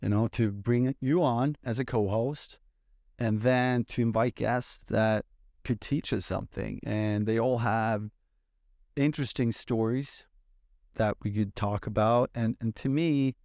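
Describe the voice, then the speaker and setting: A man, hosting a casual podcast in a relaxed, thoughtful way, pausing to find his words. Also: medium pitch, no echo, clean studio sound. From Sweden. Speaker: podcast host.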